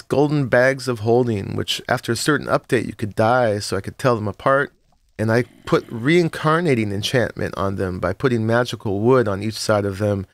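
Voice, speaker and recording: A man with an ordinary middle-pitched voice, still a little froggy from the morning, talks a casual game tour. He rambles, fills gaps with "uh" and laughs now and then. The sound is dry and close, with no room around it. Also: dry recording. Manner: relaxed, rambling, with frequent "uh" and short laughs. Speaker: Minecraft let's-play host. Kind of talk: casual gameplay tour narration.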